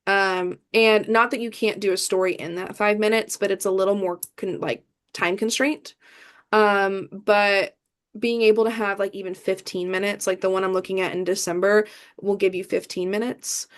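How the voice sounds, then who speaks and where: A woman's voice: medium pitch, steady tone, normal speed. A young woman from the American Midwest, answering interview questions in a chatty way, with many fillers and restarts. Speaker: idol performer, guest.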